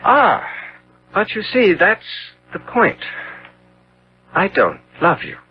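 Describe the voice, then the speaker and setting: A cruel, haughty young gentleman in an old radio play. His delivery is theatrical and mocking, swinging widely in pitch. The recording is muffled with a faint hum. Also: very animated, slow pace, exaggerated voice. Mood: cold, cruel. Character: cold, arrogant, depraved young gentleman, twenty, selfish. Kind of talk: 1970s radio drama acting.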